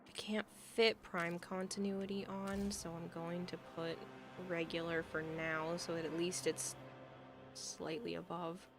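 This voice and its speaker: Woman livestreamer, gravelly low voice, going hoarse, faint hiss behind. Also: level tone, a little slow, music in the background. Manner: thinking aloud, hesitant, soft at times. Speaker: streamer. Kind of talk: casual game livestream chat.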